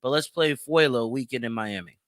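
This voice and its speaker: A man's voice: mid-range voice, a little fast, a bit bright. A man hosting a show with casual, informal commentary and frequent swearing. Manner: informal, profane. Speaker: show host.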